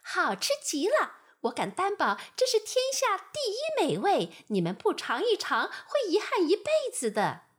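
A woman voicing a bold, greedy fly who boasts in an exaggerated, very high voice. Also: huge pitch swings, a little slow, few pauses, a bit bright, heavily acted. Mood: boastful.

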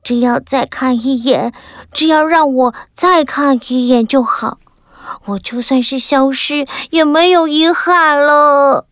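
A woman's voice: high-pitched, slow, a bit bright, no echo, studio quality. A woman voicing a lovesick toy tin soldier. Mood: pleading. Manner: earnest, tender, plaintive. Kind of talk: children's audio story acting.

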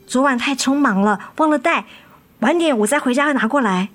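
Woman as gentle, soothing loving mother. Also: high voice, animated, normal speed. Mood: concerned. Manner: soothing, affectionate. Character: gentle, weary, loving middle-aged mother. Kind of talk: radio drama acting. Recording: music under the voice.

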